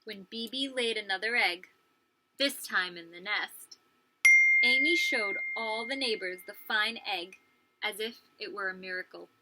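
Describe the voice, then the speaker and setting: A woman preschool teacher reading a story aloud to young children, expressive and pausing to ask them questions. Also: medium pitch, a little hiss or hum, poor audio. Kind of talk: animated children's read-aloud with questions to listeners.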